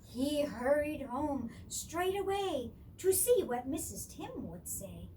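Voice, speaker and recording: A woman storyteller reads rhymes aloud with very lively, swooping intonation. Her voice is very high and unhurried, and she is recorded at a distance from the microphone. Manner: expressive, theatrical, with chatty asides. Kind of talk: animated rhyming picture-book read-aloud. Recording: distant microphone with clear background noise.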